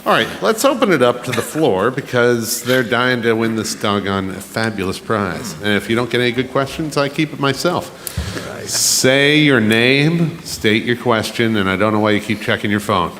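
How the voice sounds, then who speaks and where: A man's voice: medium pitch, expressive, normal speed, fairly bright tone. A man moderating a live panel, teasing and joking as he goes. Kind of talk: jokey live panel hosting. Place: large convention hall with live audience. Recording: echoey hall, audience and background noise.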